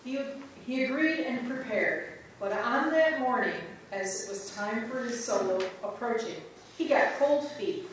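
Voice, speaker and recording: A woman reading a church history script aloud in reader's theater, in a plain, steady way. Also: on the high side, measured pace, a little hiss or hum. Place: large church sanctuary. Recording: echoey church from far off.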